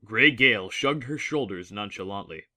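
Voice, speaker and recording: Guy narrating an audiobook, fairly low voice, sharp and forceful, scripted dramatic read. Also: lively intonation, moderate pace.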